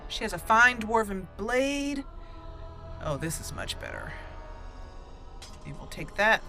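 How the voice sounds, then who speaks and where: Chatty woman doing bright game commentary. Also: medium pitch, big pitch swings, slow. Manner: chatty, playful, laughs at her own jokes. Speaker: gaming channel host. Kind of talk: casual gameplay commentary. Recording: faint game music behind.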